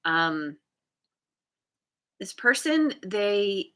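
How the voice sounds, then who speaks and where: A woman's voice: mid-range voice, big pitch swings, drawn-out words, pushed and bright. A woman giving a casual tarot reading, talking in a hesitant way with many fillers and restarts and laughing at herself now and then. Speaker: tarot reader.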